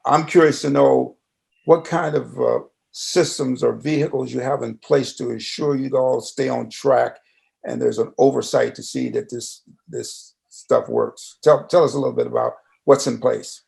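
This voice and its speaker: An older man interviewing someone conversationally, with informal, halting phrasing and repeated starts. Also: mid-range voice, steady tone, vocal fry, a little mellow.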